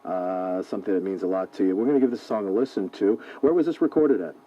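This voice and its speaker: A man's voice: low-pitched, fast talker, warm tone, highs cut off. An American man working as a rock radio DJ, presenting and interviewing casually, heard on an old aircheck tape.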